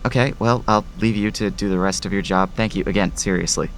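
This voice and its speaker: A man's voice: on the low side, fairly even intonation, brisk, a little hiss or hum, close to an everyday voice. A man acting in an audio drama as an eager, pushy, proud father of a young actress. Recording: music under the voice.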